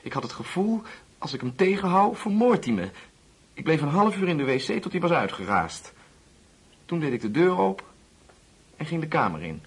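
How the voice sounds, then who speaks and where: A man's voice: fairly high pitch, big pitch swings, moderate pace, long pauses, breathy edge, full character voice. An actor playing a nervous, apologetic young man who brings bad news in a Dutch radio play, hesitant and earnest. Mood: anxious, shaken.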